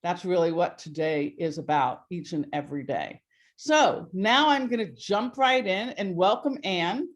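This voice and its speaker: A woman hosting a live webinar over a video call, talking in a warm, rambling way with many fillers. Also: fairly low pitch, unhurried. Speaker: host.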